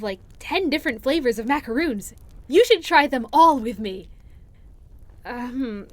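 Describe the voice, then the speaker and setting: High-voiced, hesitant young woman, acted by a woman. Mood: bubbly, chatty. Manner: hesitant, rambling, earnest. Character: shy, anxious, affectionate young friend by a bonfire. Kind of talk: interactive audio roleplay acting.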